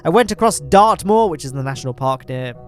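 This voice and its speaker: A man's voice: fairly high pitch, lively intonation, brisk, soft background music. An English man with a nasal, congested voice, rambling through casual gameplay commentary with lots of fillers and self-deprecating asides. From England. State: congested from hay fever. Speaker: gaming channel host.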